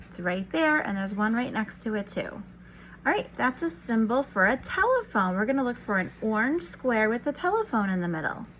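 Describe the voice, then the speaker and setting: A woman's voice: a little high, sing-song, moderate pace, fairly bright tone, slight background noise, poor audio. A woman reading a picture book aloud to young children in an encouraging, instructional manner. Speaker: children's read-aloud teacher.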